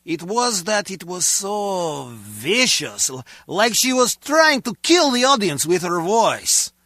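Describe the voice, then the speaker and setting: A man's voice: high voice, sing-song, slow, pushed and bright, no echo, clean studio sound, full character voice. An actor playing an earnest, comically overdramatic henchman in a video game. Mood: pained. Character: earnest, comically overdramatic male henchman, long-suffering music lover. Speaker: crewman.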